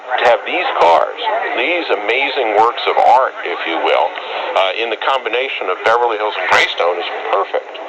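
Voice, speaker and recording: A man's voice: mid-range voice, expressive, normal speed. A man giving interview remarks as a public official, heard over shortwave radio. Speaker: mayor being interviewed. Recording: dull, very noisy shortwave radio reception.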